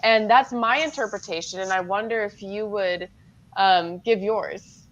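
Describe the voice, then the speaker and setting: Woman co-host, soft-spoken and thoughtful, mid voice, kinda dull lo-fi audio. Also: mid-range voice, measured pace. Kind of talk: live interview chat.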